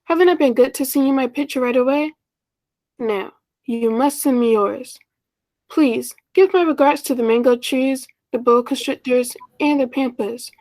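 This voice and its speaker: A woman's voice: high voice, moderate pace, darkish tone, dry recording. A woman acting an anxious, romantic schoolteacher in her mid-thirties in a 1940s style radio play, earnest and hesitant. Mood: tender, wistful.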